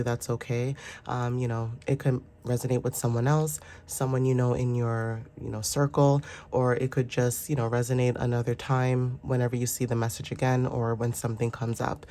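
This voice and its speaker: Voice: medium pitch, level tone, moderate pace, dark tone, a little hiss or hum. A tarot reader with a sinus infection, congested and stuffy, giving a casual, rambling, reassuring reading full of fillers. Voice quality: stuffy, nasal.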